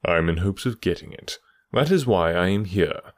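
A man reading an audiobook, voicing a calm, cool detective who speaks in a dry, unhurried way. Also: sits low, dry recording.

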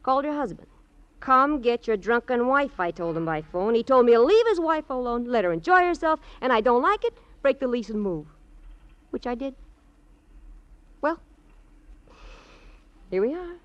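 Brassy, wildly expressive gossipy housewife. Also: female voice, fairly high pitch, normal speed, pauses often, dry recording. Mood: animated, indignant. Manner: animated, wisecracking, mimics sounds and phrases. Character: brassy, chatty, grudging housewife, gossipy former neighbor. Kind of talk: 1950s radio crime drama acting. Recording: dull, dry old radio recording.

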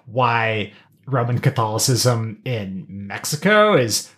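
A man's voice: medium pitch, lively intonation, takes it slowly, fairly bright tone. A man hosting a podcast, talking casually about pop culture and thinking aloud in a rambling way. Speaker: podcast host.

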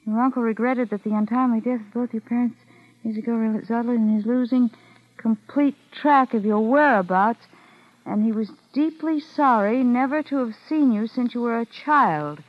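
A woman's voice: a little high, moderate pace, close to an everyday voice. An actress playing a wary, calculating young woman in an old-time radio mystery. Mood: reading aloud carefully. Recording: muffled vintage radio broadcast.

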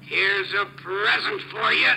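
Menacing American gangster, slow, high-pitched for a man, gloating, radio crime drama, faint noise. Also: gravelly, heavily acted. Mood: gloating menace. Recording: faintly noisy old radio broadcast.

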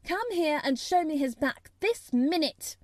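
A woman voicing a furious, bossy little girl from a storybook, shouting commands and contradictions. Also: sits high, sing-song, takes it slowly, dry recording, studio quality, heavily acted. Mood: commanding.

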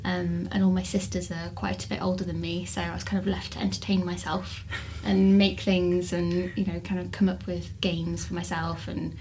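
Low-voiced woman. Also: flat delivery, moderate pace, few pauses, a little mellow, slight background noise, poor audio. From northwest England. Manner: hesitant, many "um" and "kind of". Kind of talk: casual interview chat.

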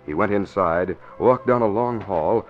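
A man with a fairly low voice delivers dramatic scripted narration for a 1940s radio serial. The recording is muffled, with faint music underneath. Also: a little slow.

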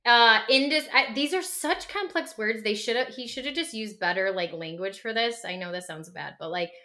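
A woman's voice: a little high, normal speed. A woman co-hosting a podcast, explaining ideas casually and emphatically with rhetorical questions. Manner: animated, emphatic, uses rhetorical questions and acted-out quotes. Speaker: podcast co-host.

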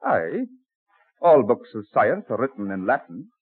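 An actor playing a friendly, measured colonial bookseller with a husky voice, in an old-time radio drama. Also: male voice, mid-range voice, big pitch swings, dry recording. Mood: helpful, measured. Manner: affable, measured, bookish. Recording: tinny band-limited old radio recording.

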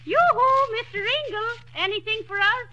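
A wry teasing radio wife. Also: female voice, very high-pitched, moderate pace, highs cut off, heavy background noise. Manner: dry teasing, sly laughs. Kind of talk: 1930s radio comedy acting.

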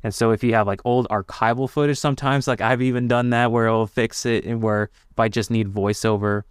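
A man's voice: mid-range voice, fairly even intonation, fairly quick, clear, resonant tone, no echo, studio quality. A man who works as a video editor, guesting on a podcast and sharing tips in an easygoing, enthusiastic way. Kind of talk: casual podcast conversation.

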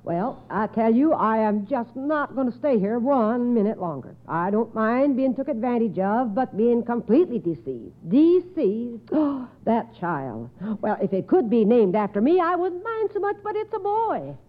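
An indignant old-fashioned aunt played by a woman in a comic radio sketch, huffy and theatrical. The pitch swings high and wide, on a muffled vintage recording. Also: big pitch swings, a little slow, hardly any pauses. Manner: huffy, theatrical, folksy grammar.

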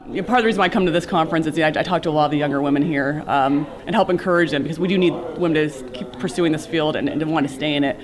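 A cybersecurity professional giving earnest advice in a conference interview, with many fillers and restarts. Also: male voice, fairly high pitch, very fast, few pauses, crowd noise.

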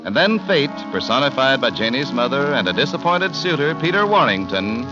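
Old time radio announcer guy, 1940s scripted intro, lively delivery, dull sound w music. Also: medium pitch, brisk. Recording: muffled 1940s radio broadcast, music behind.

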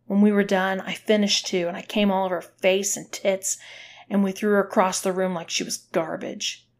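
A woman's voice: mid-range voice, little pitch movement, moderate pace, lightly acted. A woman voicing a guilt-ridden, submissive man in an audiobook story, speaking with agitated intensity. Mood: anguished, bitter.

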